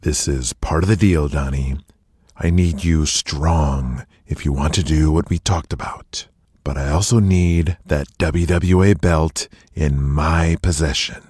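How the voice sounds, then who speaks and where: A man plays a scheming wrestling promoter in an audio drama, calm, menacing and deliberate. His voice is very low and husky, unhurried, and recorded dry and close. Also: no room sound, exaggerated voice. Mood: coldly commanding.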